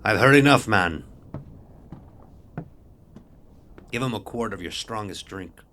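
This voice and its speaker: A man playing a stern, gruff ship's officer who tells a sea tale in commanding, archaic nautical speech for an audio horror drama. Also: on the low side, lively intonation, fairly quick, bright, forceful tone, slight background noise. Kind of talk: first-person dramatic storytelling, audio horror.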